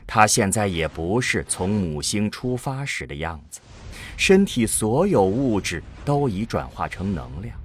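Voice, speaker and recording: Male narrator for sci-fi audio drama: solemn, theatrical, unhurried, with a highly expressive pitch range. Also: a little hiss or hum.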